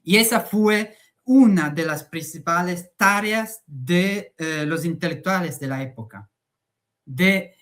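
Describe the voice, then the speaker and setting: Slow, hesitant male academic speaker. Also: on the high side. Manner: slow, hesitant, frequent "eh" fillers. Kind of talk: conference talk.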